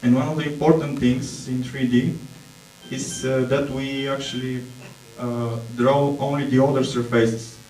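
A man with a Bulgarian accent speaks at an unhurried pace, filling gaps while he hunts for English words. His tone is dark and relaxed. The sound is distant and echoey, with a faint mains hum behind it. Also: medium pitch. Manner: hesitant, many fillers, searching for English words. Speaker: conference presenter. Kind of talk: slow conference talk with slides. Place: dark auditorium stage.

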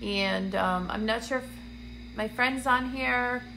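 A bright-voiced woman chats on a phone live stream from a dim room, greeting viewers by name between plenty of ums. She sounds congested and a touch raspy, with a faint hum behind her. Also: on the high side, slow pace, rough recording. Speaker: medium hosting a live stream. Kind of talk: casual livestream chat to viewers. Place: dim indoor room, seated on a couch. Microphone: phone camera at arm's length.

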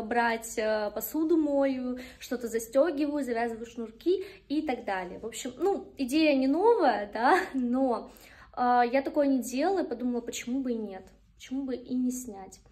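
A woman's voice: fairly high pitch, a little mellow. A woman in her twenties talking casually to her phone as a manicurist vlogger, chatty and a little self-deprecating. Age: 20s. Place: small indoor kitchen and studio rooms. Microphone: phone at arm's length. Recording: clear background room noise.

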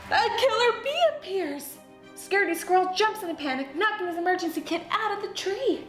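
A woman reading a children's storybook aloud in an expressive, dramatic way for young listeners. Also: very high-pitched, fairly quick. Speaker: children's book read-aloud host. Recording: roomy echo with music behind. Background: music.

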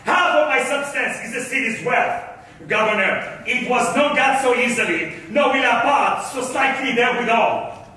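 A man's voice: very high-pitched, moderate pace, sharp tone. A man playing a wronged, defiant rich merchant in a staged reading of old verse, declaiming with passion. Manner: declamatory, impassioned. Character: wronged, bitter, defiant wealthy merchant of Malta. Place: theatre stage in a hall. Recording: echoey stage, distant microphone.

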